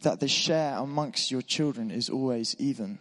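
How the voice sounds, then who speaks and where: A man preaching a sermon in church, encouraging and informal, his voice a little hoarse from being unwell. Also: medium pitch, normal speed. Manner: warm, encouraging, informal with asides. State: slightly hoarse voice. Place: church hall. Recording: long hall reverb.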